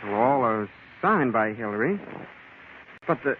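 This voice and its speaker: An actor playing an uneasy, halting suspect in a 1940s radio drama. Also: male voice, medium pitch, lively intonation, close to an everyday voice. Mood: puzzled. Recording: tinny, noisy 1940s radio recording.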